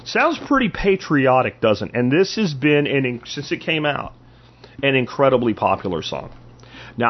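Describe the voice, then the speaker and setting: A man's voice: mid-range voice, dull audio. Man in nadrukkelijk politiek betoog, ietwat doffe opname. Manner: emphatic, rhetorical questions and repetition. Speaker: podcast host. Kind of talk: opinionated political monologue.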